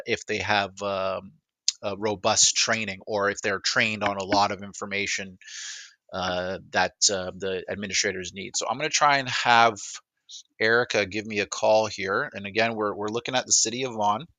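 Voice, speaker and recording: A man's voice: lowish voice, dry recording, low-quality recording. A man giving a casual, unscripted demonstration, often breaking off and repeating words as he goes. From Canada, greater Toronto area. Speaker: presenter of a product demo, acting as a caller.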